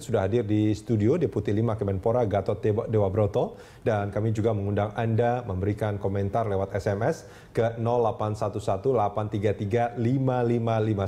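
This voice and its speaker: A man's voice: lowish voice, dark tone. A man hosting a news talk show, presenting and putting questions in a conversational studio style.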